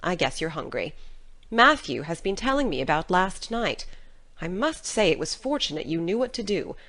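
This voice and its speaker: A woman's voice: on the low side, sing-song, moderate pace, a bit bright. A woman playing a stern, practical middle-aged farm guardian in an audiobook, with a dry, no-nonsense, scolding manner but a caring heart. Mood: dry, matter-of-fact. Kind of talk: dramatic audiobook reading.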